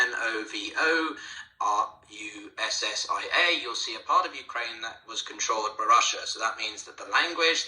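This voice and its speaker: Bright-toned man explaining politics. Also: lowish voice, reverberant, low-quality recording. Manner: lecturing, many fillers and restarts.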